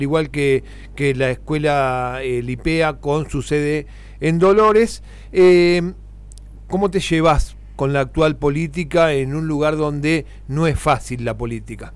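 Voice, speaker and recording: A man's voice: a little high, a little slow, smooth. An Argentine man conducting a conversational interview as a radio host. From Buenos Aires, Argentina.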